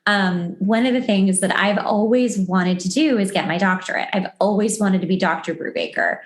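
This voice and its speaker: A woman's voice: medium pitch, normal speed. A woman hosting a podcast, talking in an informal, chatty way about personal things in casual conversation. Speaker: podcast host. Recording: roomy, echoey sound.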